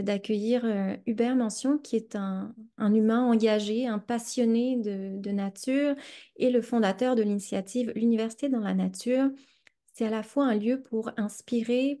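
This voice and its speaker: A woman with a Québécois accent hosts a reflective podcast, her voice pitched a little high and mellow in tone. She talks slowly and thoughtfully, circling back over her words with frequent hesitations. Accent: Québécois French. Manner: slow, searching, many hesitations and repeated words. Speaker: podcast host.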